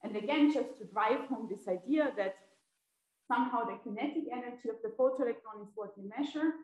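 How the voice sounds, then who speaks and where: A woman's voice: fairly high pitch, a little fast, darkish tone, highs cut off. A woman from Austria, a native German speaker, giving a conversational academic seminar with informal, self-deprecating asides. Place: indoor seminar room with projection screen. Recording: echoey lecture room.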